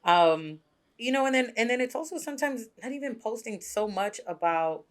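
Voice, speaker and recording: A man from Mexico, a DJ guest, talking candidly in a relaxed interview and often checking in with "right?". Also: extremely high pitch, normal speed. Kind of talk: casual interview talk.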